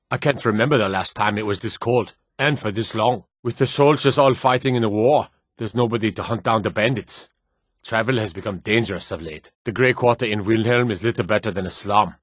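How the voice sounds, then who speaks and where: A man playing a dignified, courtly lord with a formal, measured way of talking in a fantasy video game. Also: on the low side, breathy edge, no echo, clean studio sound.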